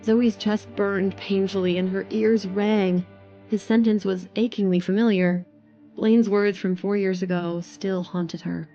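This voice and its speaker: A woman's voice: mid-range voice, flat delivery, measured pace, mellow, muffled recording, soft background music. A woman narrating a scripted romance drama as its storyteller.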